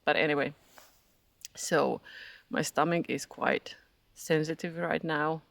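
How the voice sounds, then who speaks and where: A Finnish-accented speaker, native in Finnish, gives a hesitant, reflective spiritual vlog, often searching for words and laughing softly. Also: medium pitch, fairly even intonation, takes it slowly, gravelly, no room sound. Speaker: spiritual vlogger. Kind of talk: casual reflective monologue.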